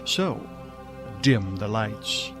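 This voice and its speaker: A man's voice: fairly low pitch, very animated, very slow. A man announcing a radio theater show in a dramatic, scripted style. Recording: loud music over the voice. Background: loud music.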